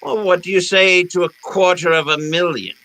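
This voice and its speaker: A man's voice: high-pitched, fairly even intonation, normal speed, bright, forceful tone. A man playing a genteel, wily crime boss in a radio detective drama, speaking in a pompous, florid, courteous way. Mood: smooth bargaining. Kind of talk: old time radio detective drama acting.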